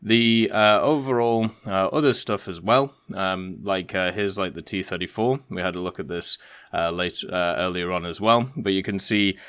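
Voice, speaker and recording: A man's voice: low voice, fairly even intonation, moderate pace, fairly bright tone, clean tone. A man giving casual, rambling commentary as the host of a gaming video.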